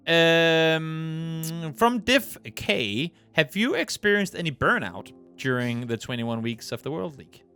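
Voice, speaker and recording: A man's voice: fairly high pitch, expressive, takes it slowly, few pauses, bright, forceful tone, clear, resonant tone, faint music behind. A man hosting a casual interview, reading viewer questions and giving friendly, affirming replies. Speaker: host.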